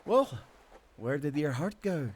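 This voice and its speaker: Man voicing solemn, gentle fairytale angel woman. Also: fairly high pitch, very animated, slow, a little hiss or hum, pitched above the performer's own voice. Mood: questioning. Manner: solemn, storybook dialogue.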